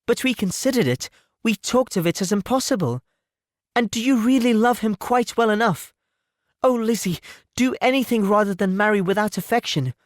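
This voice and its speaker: A man voicing a sweet, earnest young gentlewoman in an audiobook, exclamatory, affectionate and anxious. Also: high-pitched, moderate pace, no echo, clean studio sound, pitched above the performer's own voice, close to an everyday voice. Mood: delighted, anxious. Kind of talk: audiobook character voice.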